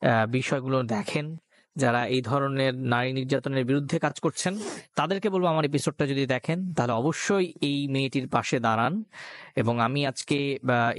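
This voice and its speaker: A man's voice: mid-range voice, no echo. A man with a Bangladeshi accent hosting a radio show, speaking earnestly to listeners in emphatic appeals and mixing in English words. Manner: code-mixes English words into speech. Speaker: radio show host and presenter.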